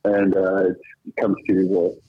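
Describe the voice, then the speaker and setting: A man's voice: sits low, monotone, measured pace, husky. A man bantering casually and improvising prank calls as a podcast guest, heard over a lo-fi connection. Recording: dull, lo-fi phone-like connection.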